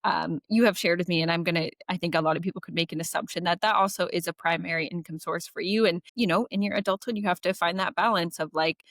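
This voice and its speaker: Lively Canadian woman co-hosting a podcast. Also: lowish voice, fast, hardly any pauses, dry recording. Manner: warm, laughing, lively retelling with acted quotes. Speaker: podcast co-host. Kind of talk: casual conversational interview. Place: remote call from abroad.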